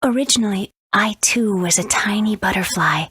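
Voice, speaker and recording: A gentle female butterfly spirit for a video game. The voice is mid-pitched, soft and heavily breathy, almost whispered, with an unhurried delivery in dry sound. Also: no echo. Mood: serene, grateful. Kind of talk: video game character acting.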